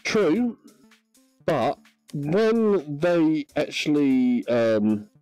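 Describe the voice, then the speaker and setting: A man chatting casually on a VR game livestream, relaxed and hesitant, with many ums. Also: fairly high pitch, drawn-out words, smooth, no echo, faint music behind. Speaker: livestream gamer.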